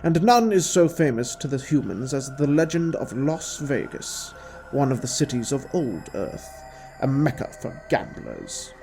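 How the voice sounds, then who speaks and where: Audio drama villain, male, performed by a male reader: scornful, formal, unhurried, mellow timbre, music bed. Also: mid-range voice, very animated, creaky, low-quality recording. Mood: scornful, gloating. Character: sardonic, menacing male villain, coolly toying with his captive.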